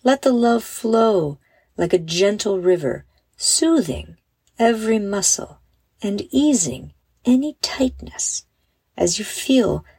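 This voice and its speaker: Slow, breathy woman guiding a calm meditation. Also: mid-range voice, no room sound. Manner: soothing, gentle, encouraging. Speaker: host and meditation guide for children. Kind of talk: guided meditation, scripted narration.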